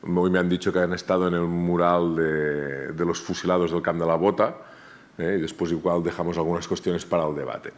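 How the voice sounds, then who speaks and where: A man's voice: deep voice, level tone, fairly quick. A man with a Catalan accent giving an academic seminar talk in a university room, often restarting and repeating his words. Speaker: academic speaker. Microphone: table microphone, far camera.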